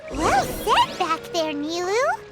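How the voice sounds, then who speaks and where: Excitable, very high-pitched little child. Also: female voice, slow pace, faint music behind, full character voice. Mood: gleeful bravado. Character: excitable, playful little child cheering for justice. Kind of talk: video game voice acting.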